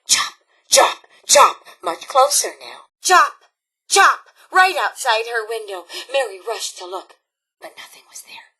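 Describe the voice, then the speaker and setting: An actress playing a cheery, prim robot scout leader who tells spooky campfire tales with theatrical, precise diction in a video game. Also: female voice, on the high side, very animated, slow pace, pushed and bright, slightly rough, full character voice. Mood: spooky, dramatic.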